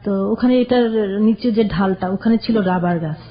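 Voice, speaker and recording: A woman telling her life story to a radio host, slow and hesitant, with restarts. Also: mid-range voice. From Bangladesh. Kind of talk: personal storytelling interview. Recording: dull lo-fi radio recording.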